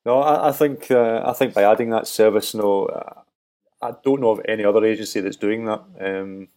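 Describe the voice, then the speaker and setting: A man's voice: on the low side, normal speed, a little mellow. A man interviewing a guest casually, circling his way toward questions in a tentative manner. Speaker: interviewer, agency owner.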